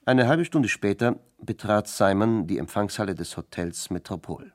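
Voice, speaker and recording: Male narrator for crime drama: low register, sober factual storytelling, close dry recording. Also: lively intonation, normal speed, no echo. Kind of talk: scripted narration of a radio crime play.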